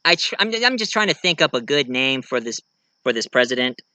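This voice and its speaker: Male vlogger, clear crisp voice with a bright edge, casual, halting delivery. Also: medium pitch, sing-song. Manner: halting, searching for words. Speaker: video reviewer and host of the channel. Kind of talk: casual talk to viewers about own writing.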